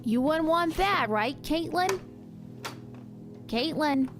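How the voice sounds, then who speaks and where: A woman's voice: high voice, expressive, slow, exaggerated voice. A woman playing a night nurse, scolding yet caring, in a horror audio drama. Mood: wary, scolding. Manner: stern scolding turning soft. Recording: loud music behind the voice.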